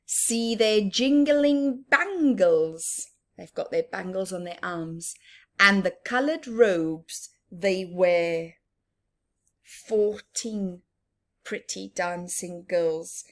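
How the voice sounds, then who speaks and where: A woman reading a picture book aloud to children in an expressive, teacherly way, adding simple explanations and questions for the listener. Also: mid-range voice, very slow. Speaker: children's story time reader.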